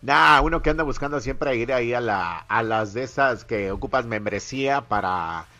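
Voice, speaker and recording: A man's voice: mid-range voice, moderate pace, sharp tone, lo-fi sound. A man hosting a morning radio show, reading listener messages aloud and teasing his co-host playfully. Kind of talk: joking morning radio banter.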